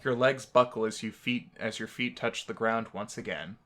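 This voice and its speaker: A man's voice: on the low side, moderate pace, a bit bright. A man co-hosting a game playthrough, reading text aloud and commenting casually. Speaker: gaming channel co-host.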